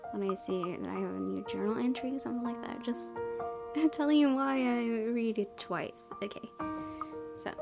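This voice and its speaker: A woman's voice: mid-range voice, gravelly. A woman reading visual novel narration aloud, breaking into casual asides as a gaming YouTuber. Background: background music.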